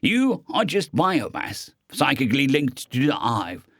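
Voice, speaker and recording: A man's voice: medium pitch, wildly swooping pitch, a little slow, a bit bright, no echo, studio quality, full character voice. Man as a blunt, menacing robotic alien exterminator. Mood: stern, puzzled. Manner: blunt, threatening. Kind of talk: audio story dialogue.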